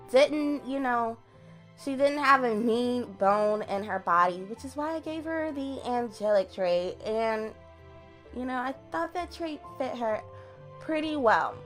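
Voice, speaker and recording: A young woman with a deep voice, recording a casual late-night game voiceover at home on a desktop mic, chatty and self-deprecating. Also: fairly bright tone. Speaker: gaming YouTuber. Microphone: desktop USB mic.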